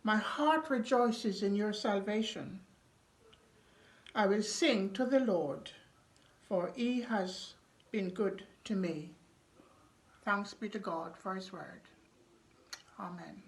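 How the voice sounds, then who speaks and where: A woman reading a psalm aloud as a scripture reader, in a measured style. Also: medium pitch.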